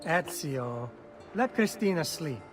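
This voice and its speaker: An actor playing an affectionate, teasing older brother, a young noble, in a game cutscene. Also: male voice, fairly high pitch, big pitch swings, slow pace, music in the background. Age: young adult. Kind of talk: video game cutscene acting.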